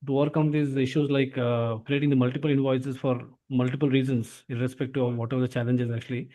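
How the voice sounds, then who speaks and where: A man with an Indian accent explaining software as an instructor, often tagging phrases with "actually". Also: mid-range voice, flat delivery, quick pace. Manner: repeatedly tags phrases with "actually". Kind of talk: explanatory software training lesson.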